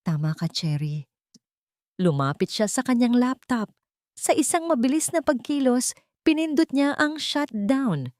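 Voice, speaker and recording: A woman's voice: high-pitched, sing-song, measured pace, darkish tone, no echo, studio quality. A woman reading a dramatic scripted story as an audio drama narrator.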